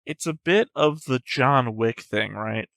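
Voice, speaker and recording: A man's voice: mid-range voice, slow pace, fairly bright tone, no echo, clean studio sound. A man giving off-the-cuff reaction commentary as a show host, thinking aloud and posing rhetorical questions in a musing way.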